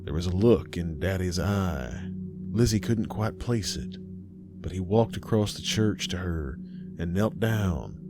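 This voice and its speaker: Male horror narrator: low pitch, dramatic folksy storytelling, music clearly underneath. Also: expressive, measured pace.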